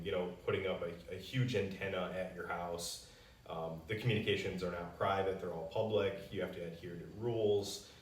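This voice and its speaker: A man's voice: sits low, slight background noise. A man giving an unscripted, confident promotional explanation, answering customer questions as a company spokesman. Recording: roomy echo, distant mic.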